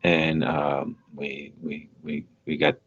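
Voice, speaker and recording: Very deep-voiced man from El Salvador. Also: flat delivery, fairly bright tone, highs cut off. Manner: halting, many fillers and repeated words. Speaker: guest, nonprofit director. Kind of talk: live podcast interview.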